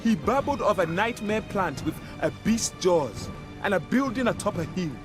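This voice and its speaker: A grim, embittered guard of a troubled town. His fairly high voice moves widely as he broods with dramatic earnestness. Fantasy game music sits behind him. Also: animated, moderate pace. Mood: grave, urgent. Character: grim, embittered male city guard, loyal to townsfolk. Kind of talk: fantasy video game voice acting.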